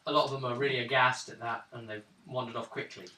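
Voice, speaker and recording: A man running a tabletop role-playing game as game master, describing scenes in a relaxed way. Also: mid-range voice, quick pace, slight background noise. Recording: roomy table recording from a distance.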